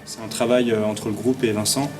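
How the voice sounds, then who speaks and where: A man's voice: lowish voice, steady tone, fairly quick, over music. A man talking casually in an interview as a musician, informal and a bit hesitant. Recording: clearly noisy ambient background.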